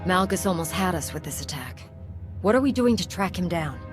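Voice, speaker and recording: A principled female hero of a space saga, played by an actress for a game cutscene. Her voice sits a little low and stays poised. Clear music plays behind it. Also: lively intonation, brisk, natural voice. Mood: guarded. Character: poised, principled heroic woman commander and former Jedi. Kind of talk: video game cutscene acting.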